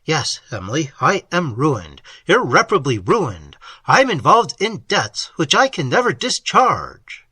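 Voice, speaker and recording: A wild, impassioned young lover, as a male reader plays him, pleading in a bright, forceful voice that swings up and down. The sound is close and dry, with a slight husky edge. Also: on the high side, huge pitch swings, measured pace, few pauses, no room sound, full character voice. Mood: frantic. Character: young man, desperate, ruined and frantic lover. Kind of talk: audiobook reading of a classic novel.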